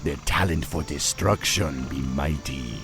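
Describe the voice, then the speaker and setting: A man's voice: a bass voice, unhurried, over music. A man voicing a proud male troll chieftain who speaks in an island patois, in a video game cutscene. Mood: urgent. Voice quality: gravelly.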